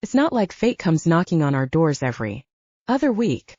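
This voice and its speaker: A woman plays an indignant young she-wolf from a romance audiobook. She is blunt and challenging, with a husky voice and lively, swinging pitch. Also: normal speed, no echo, muffled recording. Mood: indignant disbelief.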